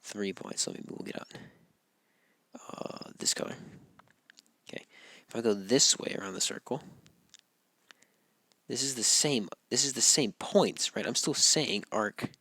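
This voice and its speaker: A man teaching a geometry lesson, losing his voice, talking in a relaxed way and often checking in with "right?". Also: mid-range voice, measured pace, long pauses, no room sound. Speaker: math teacher. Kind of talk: recorded classroom walkthrough.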